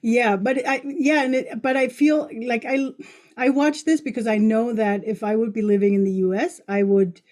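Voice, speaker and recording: A woman hosting a podcast in casual conversation, with informal, halting phrasing as she rewords herself. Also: a little high, fairly even intonation, a little mellow. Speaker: podcast host.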